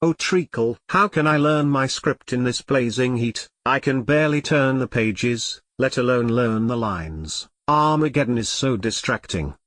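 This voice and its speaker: A man's voice: mid-range voice, measured pace, few pauses, fairly bright tone, no echo, clean studio sound, close to an everyday voice. A synthetic male text-to-speech voice playing a melodramatic, easily flustered computer turned elephant in an audio comedy drama. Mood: melodramatic dismay. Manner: theatrical complaining in synthetic text-to-speech delivery.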